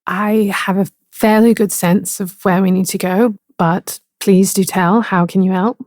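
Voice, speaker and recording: A woman's voice: mid-range voice, fairly even intonation, measured pace, darkish tone, no room sound. A woman playing a tabletop game, describing her character in a storytelling style, close on the microphone.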